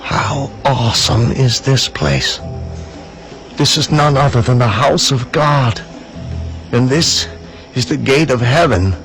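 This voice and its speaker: A devout younger Hebrew man in a dramatized scripture production. His voice sits at a middle pitch, earnest and reverent, with some music underneath. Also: slow pace, gravelly, pauses often, fairly bright tone. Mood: awed.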